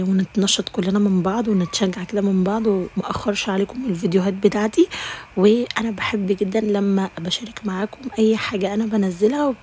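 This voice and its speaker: Rapid-fire Egyptian woman vlogger. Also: mid-range voice, a little mellow, a little hiss or hum. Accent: Egyptian Arabic. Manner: affectionate, addresses viewers as dear sisters. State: slightly tired voice. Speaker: home routine vlogger. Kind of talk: casual voice-over narration.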